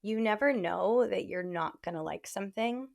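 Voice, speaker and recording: A woman's voice: medium pitch, moderate pace, vocal fry, a little mellow. A woman hosting a podcast, chatting frankly and casually and giving relationship advice with many "like" fillers. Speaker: podcast host.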